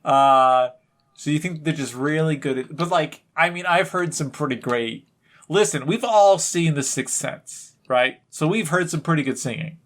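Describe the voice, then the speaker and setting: A man's voice: fairly high pitch, moderate pace. A man co-hosting a podcast in casual, jokey banter, rambling off on tangents. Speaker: podcast co-host.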